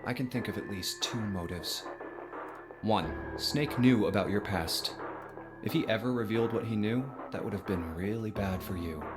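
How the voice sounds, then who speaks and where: Male actor as a determined young amateur sleuth, low voice, deliberate dramatic delivery, game music prominent underneath. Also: natural voice. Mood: pressing, accusing. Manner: deliberate, reasoning step by step. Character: determined young man methodically accusing a killer. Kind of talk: dramatic visual novel dialogue acting.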